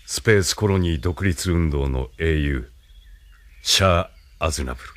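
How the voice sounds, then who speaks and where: An actor playing a calm, imperious military leader in an audio drama, with a measured, condescending, lecturing manner. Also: male voice, very deep voice. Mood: cold, commanding. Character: calm, imperious male military leader, idealist turned cynical schemer. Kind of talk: anime-style audio drama acting.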